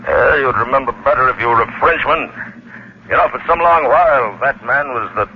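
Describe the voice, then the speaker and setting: A man's voice: medium pitch, fairly quick, slight background noise, voice pushed up, natural voice. A man playing a polite, chatty waxworks manager who shows off murderers with relish, in a hesitant conversational old radio horror style. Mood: relishing, confiding. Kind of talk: 1940s radio horror acting. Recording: muffled old radio recording with prominent music.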